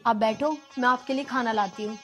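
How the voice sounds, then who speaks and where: A woman playing a patient young daughter-in-law in a family drama skit, pleading and soft-spoken. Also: high-pitched, normal speed, faint music behind. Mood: gentle.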